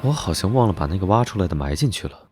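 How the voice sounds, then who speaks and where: Low-voiced suave gentleman investigator. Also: lively intonation, fast, soft background music. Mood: nonchalant. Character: suave, teasing, self-assured gentleman investigator. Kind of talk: Chinese animated drama dubbing.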